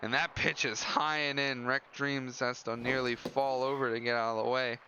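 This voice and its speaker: Male baseball play-by-play caller, mid-pitched, clipped delivery with offhand asides, lo-fi sound with noisy ambience behind. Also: steady tone, moderate pace. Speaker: play-by-play commentator. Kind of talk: live baseball commentary. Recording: dull lo-fi audio with loud background noise.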